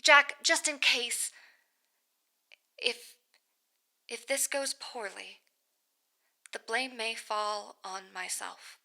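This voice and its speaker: A woman in an audio drama playing a grave, guarded company manager who speaks formally and in a measured way. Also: fairly high pitch, vocal fry, sharp tone, full character voice. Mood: grave, restrained.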